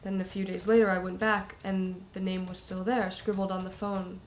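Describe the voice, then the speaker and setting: A woman's voice: mid-range voice, little pitch movement, normal speed, a little hiss or hum, lightly acted. An actress playing an uneasy young woman who gives a hesitant, conversational account in a film drama. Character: uneasy young woman recounting family secrets. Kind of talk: naturalistic film acting, interview testimony.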